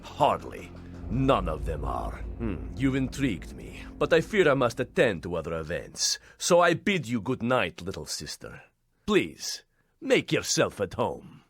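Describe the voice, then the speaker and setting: An actor playing a theatrical, aristocratic vampire villain in a video game, pompous, florid and mockingly courteous. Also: male voice, on the low side, huge pitch swings, a little slow, full character voice. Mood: smug, courteous. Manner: pompous, florid, mock-polite delivery. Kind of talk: video game cutscene acting. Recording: music behind the voice.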